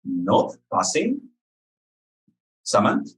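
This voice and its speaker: A man hosting a quiz, reading questions to contestants and explaining answers in a measured, articulate way. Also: lowish voice, wildly swooping pitch, low-quality recording. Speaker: quiz master.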